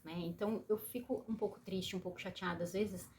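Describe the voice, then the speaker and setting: Brazilian woman vlogger, Brazilian Portuguese accent, chatty, swinging from excitable to heartfelt. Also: mid-range voice, a little fast, slight background noise. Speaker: YouTuber. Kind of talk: casual chat to camera.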